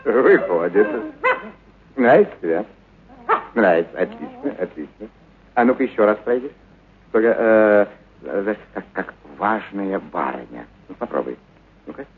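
A man's voice: lowish voice, animated, a little slow, long pauses, narrow, tinny audio, a crowd in the background. An actor playing a kindly, enthusiastic male circus animal trainer in a radio play, muttering hesitantly and repeating encouragements. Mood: coaxing, pleased. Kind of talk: dramatized literary radio play acting.

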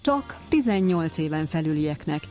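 A woman reads a scripted advertisement neutrally, item by item. Her pitch is quite deep for a woman. Soft music plays faintly underneath. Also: big pitch swings. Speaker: announcer reading an advertisement. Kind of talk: scripted classified ad reading.

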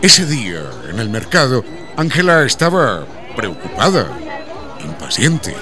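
An actor narrating a radio soap opera as its storyteller, in a scripted style. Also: male voice, mid-range voice, very animated, measured pace, music in the background, crowd noise. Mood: storytelling.

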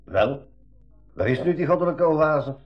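A man's voice: a little high, lively intonation, measured pace, soft background music. A man playing a practical, doubting travel companion in a 1980s radio drama, formal and articulate, in a dull recording. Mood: incredulous.

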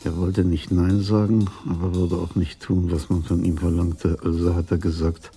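An actor playing a shrewd older police commissioner in a radio drama, reasoning methodically and calmly. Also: male voice, deep voice, moderate pace, soft background music. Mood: reflective, shrewd. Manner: methodical, reflective.

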